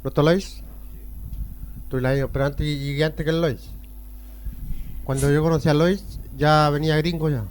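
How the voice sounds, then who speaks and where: A man's voice: fairly high pitch, steady tone, moderate pace, long pauses, a little hiss or hum, rough recording. A Chilean man being interviewed on a radio show, telling rambling stories that jump between topics. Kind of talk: casual rambling storytelling.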